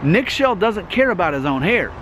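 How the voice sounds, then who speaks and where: A man's voice: sits high, big pitch swings, moderate pace, a bit bright. A man in his thirties talking to camera in an earnest vlog monologue. He repeats himself and keeps checking in with "okay?". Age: 30s. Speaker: YouTube host. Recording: noisy outdoor recording with traffic.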